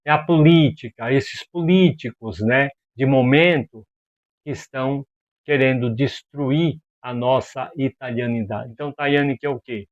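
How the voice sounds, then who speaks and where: A man from Brazil giving casual opinion commentary as a video host, animated and exasperated, full of rhetorical questions and slang. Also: mid-range voice, a little slow, dull audio.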